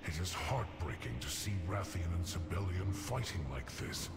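A man voicing a solemn, wise, sorrowful dragonkin elder for a video game, speaking gravely and earnestly. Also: deep voice, expressive, normal speed. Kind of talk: video game character acting. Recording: loud game music behind.

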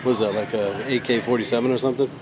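A man's voice: mid-range voice, steady tone, racing, a crowd in the background. A man vlogging as he walks a fireworks store with his son, holding the camera and eagerly reading out product names and prices. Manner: enthusiastic, reads out prices and names. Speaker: vlogger, father. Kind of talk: casual shopping commentary. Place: large indoor fireworks store. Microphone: handheld camera. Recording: store music playing loudly behind.

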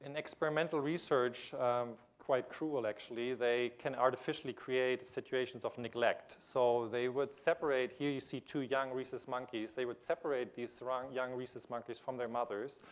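A man in his thirties delivers a keynote lecture from a large auditorium stage. His lapel mic catches a voice of middle pitch. He explains patiently, often restarting phrases and filling pauses as he moves about. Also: steady tone. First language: German. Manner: explanatory, with frequent restarts and fillers; gestures while pacing the stage. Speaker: keynote speaker. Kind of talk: conference lecture.

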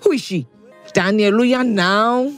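Woman acting a teasing young friend, slow, faint music, audio drama. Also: mid-range voice, lightly acted.

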